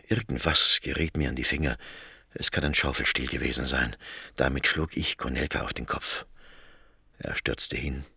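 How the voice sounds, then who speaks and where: An aggrieved, desperate man with a killing on his conscience in a radio play. His voice is extremely low and very husky, with a forceful edge. He is insistent and sombre by turns. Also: dry recording, studio quality, exaggerated voice. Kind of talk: German radio play acting.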